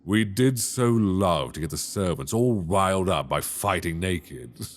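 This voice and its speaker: A man voicing a possessive, teasing dragon king in human form in an ASMR audio roleplay, speaking slowly and intimately into a binaural mic. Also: expressive. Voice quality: deep, gravelly. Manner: slow, intimate, teasing, soft-spoken.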